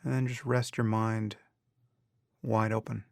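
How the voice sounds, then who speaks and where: A man guiding a meditation as a teacher, calm and measured, speaking in short phrases with long pauses. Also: lowish voice, gravelly, no room sound, clean studio sound. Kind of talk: guided meditation instruction.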